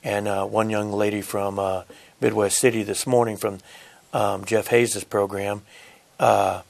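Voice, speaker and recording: A man's voice: on the low side, flat delivery, unhurried, gravelly, a little mellow. A middle-aged man, a union apprenticeship coordinator answering in a studio interview, earnest and deferential on a lapel mic. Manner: earnest, deferential, says "yes, sir".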